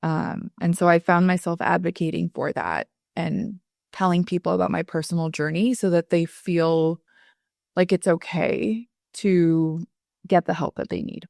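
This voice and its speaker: A woman attorney from South Texas talking candidly as an interview guest, earnest and reflective, often pausing with fillers and restarting her sentences. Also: lowish voice, fairly even intonation, gravelly, darkish tone, dry recording, clean studio sound. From Rio Grande Valley, Texas.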